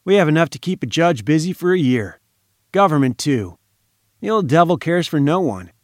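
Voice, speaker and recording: Guy voicing a chummy newspaperman, breezy, a bit higher voice, very dry sound. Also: very animated, normal speed, no echo. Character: genial male journalist, brisk and friendly.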